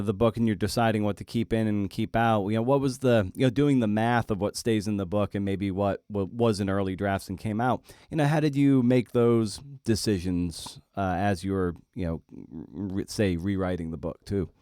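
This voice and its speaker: A man's voice: on the low side, normal speed, few pauses, a little mellow, clear, resonant tone, no echo, studio quality. A man hosting a conversational podcast interview, asking meandering questions dotted with "you know".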